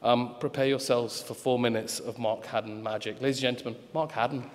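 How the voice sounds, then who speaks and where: A man's voice: medium pitch, fairly even intonation, fairly quick, heavy background noise. Man introducing someone in an echoing hall. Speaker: event host introducing an author. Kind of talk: live spoken introduction. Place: large echoing venue at a live event. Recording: reverberant hall, live stage event.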